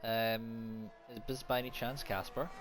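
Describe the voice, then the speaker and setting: A man with a naturally quiet voice giving live, unscripted sim racing commentary, mixed with casual chat. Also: medium pitch, steady tone, unhurried, music in the background. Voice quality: soft-spoken, naturally quiet voice. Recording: noisy home stream microphone.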